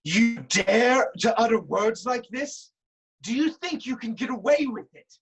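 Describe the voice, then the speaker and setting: Casting: performer as suspicious, hot-tempered monarch, commanding and declamatory, mid-pitched voice, classical Greek tragedy. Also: unhurried, breathy edge, poor audio. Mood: outraged, scornful.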